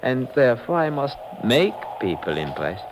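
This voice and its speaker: A man's voice: mid-range voice, very animated, normal speed, lightly acted. An actor playing a sadistic little villain in a 1950s radio drama, politely plaintive and mock-apologetic as he threatens. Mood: plaintive mock apology. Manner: polite, plaintive, fussily menacing. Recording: noisy old radio recording.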